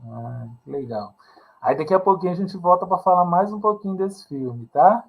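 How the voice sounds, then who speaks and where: A man's voice: high-pitched, expressive, normal speed, slightly rough, narrow, tinny audio. A man moderating a film festival debate, welcoming guests with friendly questions. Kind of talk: online panel moderation.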